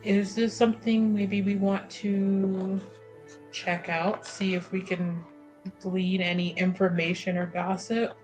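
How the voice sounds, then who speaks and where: Slow-paced woman roleplaying at game table. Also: medium pitch, level tone, a little mellow, soft background music. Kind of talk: casual improvised in-character roleplay.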